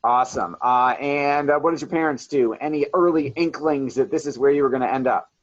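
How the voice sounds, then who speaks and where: A man's voice: mid-range voice, fairly quick, no room sound. Upbeat male interview host, effusive, dry and slightly dull-sounding audio. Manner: upbeat, effusive, frequent "awesome". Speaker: podcast host. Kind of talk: enthusiastic interview questions.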